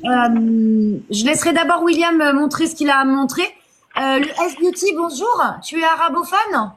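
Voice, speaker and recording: A woman with a high voice runs a live online debate. She talks haltingly, pausing on fillers as she calls people up to speak. A faint noise sits under her voice. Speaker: live stream host.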